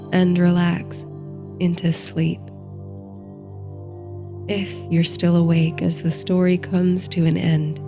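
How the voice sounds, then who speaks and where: A woman narrating a sleep story like a guided meditation, in a gentle, soothing, unhurried manner. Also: on the low side.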